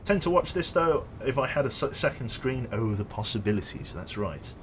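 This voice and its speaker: A chatty young man rambles through a live gaming stream, talking to his viewers as he plays. His pitch moves a lot from line to line. A faint ambient noise sits under his voice. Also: very animated, normal speed. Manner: rambling, chatty, reads chat aloud and jokes. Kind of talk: casual live-stream chat while gaming.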